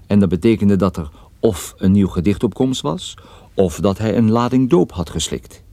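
An actor playing a private detective who narrates his case in a radio play, droll and deliberate. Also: on the low side, animated, warm tone, dry recording. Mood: wry narration.

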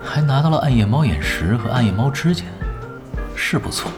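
An actor playing a laid-back, unflappable male gamer, calm and deadpan with dry wit, in an audio drama. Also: medium pitch, a little fast, over music, close to an everyday voice. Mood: offhand. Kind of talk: Chinese audio drama acting.

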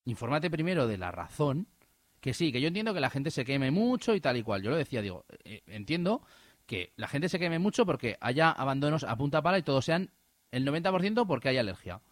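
A man telling an animated, indignant personal story in casual radio chat, with many restarts. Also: mid-range voice, fairly quick, no echo. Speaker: radio host.

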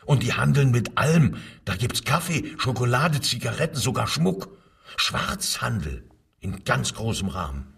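An actor playing an evasive, servile official who pleads and justifies himself under interrogation in a radio crime drama. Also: male voice, mid-range voice, sing-song, a little slow, creaky, fairly bright tone, breathy edge, far from the mic, exaggerated voice. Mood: spiteful, eager.

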